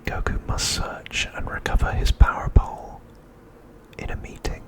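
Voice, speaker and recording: A man whispering an ASMR narration in a soft, unhurried way, with deliberate phrasing and pauses. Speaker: host of an ASMR channel.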